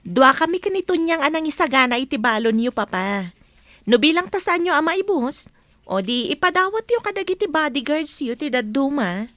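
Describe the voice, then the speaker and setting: A woman acts a governor's caring daughter in a radio play. Her high voice has an Ilocano accent and a gentle, obedient manner, captured with no room sound. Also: very animated, moderate pace, no room sound. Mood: dutiful. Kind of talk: radio drama acting.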